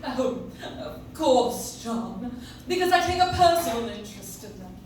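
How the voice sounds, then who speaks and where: A woman's voice: on the high side, big pitch swings, unhurried, darkish tone. An actress playing an enthusiastic lady drama coach in a scripted 1940s radio advertisement. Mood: gushing. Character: gushing lady drama coach endorsing soap flakes. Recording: echoey, distant, noisy audience-member recording.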